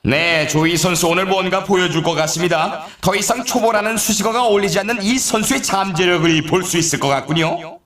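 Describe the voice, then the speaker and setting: A man voicing an excitable, playful snowboard race announcer in a video game, with dramatic, showy delivery and drawn-out names. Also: high-pitched. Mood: excited, dramatic. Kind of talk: scripted sports commentary, event introductions. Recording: roomy echo on the voice.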